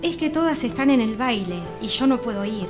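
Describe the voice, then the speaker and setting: A naive young fairytale heroine in a comic radio play, acted by a woman. She speaks high and melodramatically with a wistful Argentine accent, over clearly audible music. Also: animated, brisk, natural voice. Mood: plaintive.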